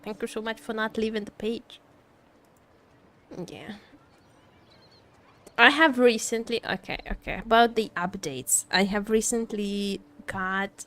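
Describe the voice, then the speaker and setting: A woman's voice: mid-range voice, a little slow, pauses often, a bit bright. A woman streaming a game with casual, playful, rambling chat, teasing and often repeating her phrases. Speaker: VTuber streamer. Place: indoor home streaming setup.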